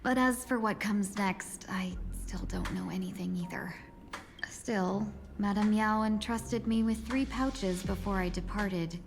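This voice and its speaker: A woman's voice: medium pitch, a little slow, vocal fry. An actress playing a poised, courteous woman envoy on a secret mission in a game, speaking formally and eloquently. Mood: measured, confiding. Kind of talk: scripted game voice acting. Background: background music.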